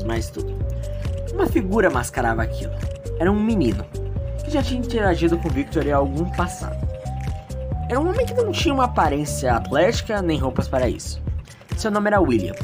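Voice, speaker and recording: Female storyteller, Brazilian accent, low register, animated delivery over clear music. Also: brisk. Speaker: narrator of an audio drama. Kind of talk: storytelling narration, loosely scripted.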